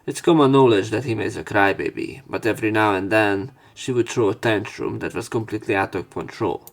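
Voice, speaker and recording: A man's voice: fairly low pitch, fairly quick. A man giving casual, sarcastic let's play commentary and reading the game's dialogue aloud, with some swearing.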